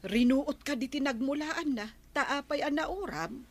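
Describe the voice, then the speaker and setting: A woman's voice: high-pitched, unhurried. A woman playing a nagging, skeptical village wife with an Ilocano accent in a comedic radio drama. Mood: scolding, questioning. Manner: nagging, questioning. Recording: faint noise and ambience.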